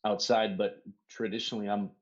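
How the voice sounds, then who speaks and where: Low-voiced guy from socal, spanish first language, cussing a lot while telling family stories, kinda dull muffled sound. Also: level tone, fairly quick. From Southern California. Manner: swears freely, storytelling with mock quotes. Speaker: podcast guest. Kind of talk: casual podcast chat.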